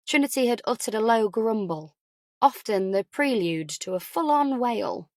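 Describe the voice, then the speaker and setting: A woman's voice: on the high side, animated, moderate pace, a little mellow, no room sound, studio quality. A woman reading a novel as an audiobook narrator, in scripted storytelling style.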